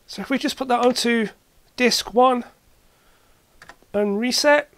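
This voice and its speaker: Halting hobbyist demonstrating gear hands-on. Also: medium pitch, a bit bright. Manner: halting, matter-of-fact, thinking aloud while working. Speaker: host, retro computer hobbyist. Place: indoor cluttered workshop.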